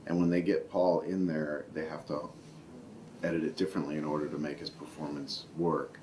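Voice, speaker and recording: A man's voice: rumbling low, level tone, moderate pace, darkish tone, slight background noise. A man speaking in a behind-the-scenes film interview about his work. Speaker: film animation crew interviewee.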